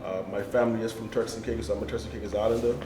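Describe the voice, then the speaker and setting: A man's voice: flat delivery, rapid-fire, music in the background. A man speaking earnestly on a panel, building emphasis through repetition. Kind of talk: discussion. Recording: echoey room with low rumble.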